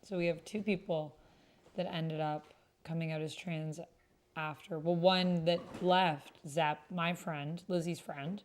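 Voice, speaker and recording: Lower-voiced woman chatting casually on stream. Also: steady tone, unhurried. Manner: chatty, musing to herself. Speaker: streamer playing a solo tabletop roleplay game. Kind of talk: casual livestream chat, thinking aloud.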